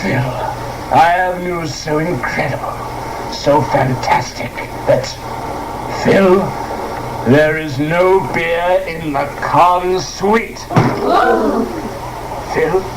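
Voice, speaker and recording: A man's voice: a little high, drawn-out words, pauses often. A man playing an urgent, melodramatic spy chief who briefs an agent with overdramatic emphasis in a live comedy radio play. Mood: mock-grave. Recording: noisy old cassette tape recording.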